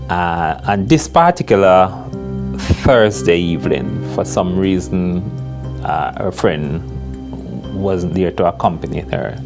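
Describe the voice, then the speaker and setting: An older man giving a formal, halting, measured account in an interview as a security official. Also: sits low, creaky. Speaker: university security director.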